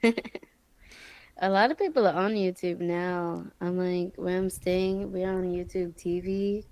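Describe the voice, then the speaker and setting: A woman's voice: lowish voice, steady tone, takes it slowly, a little mellow, slight background noise. A woman co-hosting a podcast, chatting casually and teasing her partner, laughing often. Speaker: podcast co-host.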